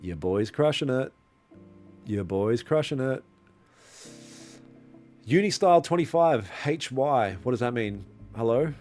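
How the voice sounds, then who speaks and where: A man's voice: mid-range voice, lively intonation, unhurried, pauses often, a little mellow. A young man livestreaming his trading, chatting casually with viewers in a slangy, laid-back way. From Australia. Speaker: livestreaming trader. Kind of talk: casual live-stream chat, reading and answering viewer comments. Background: faint lo-fi music.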